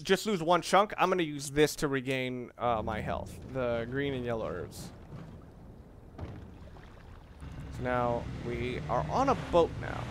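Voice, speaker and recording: A man giving casual, off-the-cuff video game commentary, explaining things in a rambling, halting way. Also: mid-range voice, fairly bright tone. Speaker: let's play gamer. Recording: noisy, game sounds behind the voice. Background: video game sounds of boat and water.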